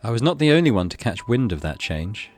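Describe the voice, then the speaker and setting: A man's voice: mid-range voice, very animated, a little fast, darkish tone, soft background music. A man giving a theatrical, suspenseful reading of a horror visual novel. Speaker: gaming channel host reading aloud. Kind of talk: dramatic scripted narration of a horror visual novel.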